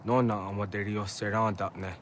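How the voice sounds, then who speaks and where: A man's voice: on the low side, little pitch movement, a little fast, slight background noise, close to an everyday voice. An actor playing a village man in a dramatic scene from a historical video game. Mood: serious.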